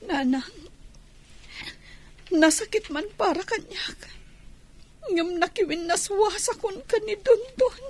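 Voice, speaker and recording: High-voiced, troubled young woman, played by an actress. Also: expressive, slow pace, long pauses, exaggerated voice. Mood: hurt. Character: troubled young woman, heartbroken daughter and friend. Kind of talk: Ilocano radio drama acting.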